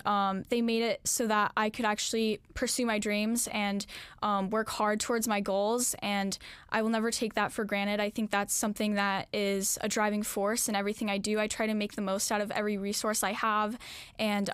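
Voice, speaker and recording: Teen girl in a news interview, earnest, lots of ums, smooth clear voice, dry close sound. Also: medium pitch, no room sound. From Alaska, US. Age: late teens. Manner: earnest, many "um" fillers, reflective. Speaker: interviewee, graduating student.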